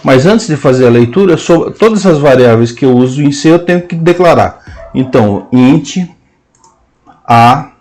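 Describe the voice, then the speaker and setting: A man with a Brazilian Portuguese accent teaching a programming lesson, dictating code symbol by symbol with hesitant pauses. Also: mid-range voice, animated, a little mellow. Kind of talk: slow screen-cast coding lesson, dictating code aloud.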